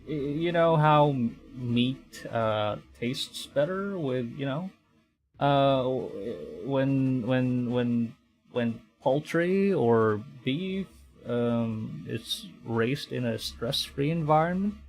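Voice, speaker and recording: A man from the Philippines plays and narrates a game on stream. He talks slowly at a middling pitch, with hesitant, wry stammering. Faint game music sits behind him. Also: animated, a little mellow, low-quality recording. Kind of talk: live game commentary and reading aloud.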